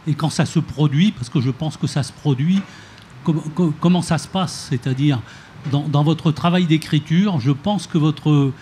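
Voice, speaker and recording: A man in the audience puts a careful, searching question to a writer. His voice is mellow and set a little high. Faint noise hums behind him. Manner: measured, searching, thoughtful questioning. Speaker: audience member asking a question.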